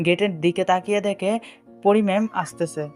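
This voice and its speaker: A woman's voice: mid-range voice, normal speed, darkish tone. A woman reading a scripted story as a narrator, with dialogue between characters. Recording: music behind the voice. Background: background music.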